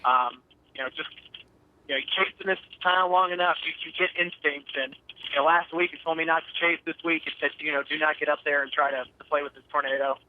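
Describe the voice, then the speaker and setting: A man's voice: on the high side, fairly even intonation, quick pace, slight background noise. A man giving a live eyewitness report as a storm chaser over the phone, in a hesitant, matter-of-fact way. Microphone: phone call. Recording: muffled narrow telephone line.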